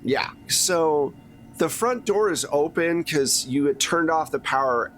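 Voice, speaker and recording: A man's voice: a little high, expressive, a little slow, fairly bright tone, a little hiss or hum. A man running a tabletop roleplaying game, improvising descriptive narration in a conversational way. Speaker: game master.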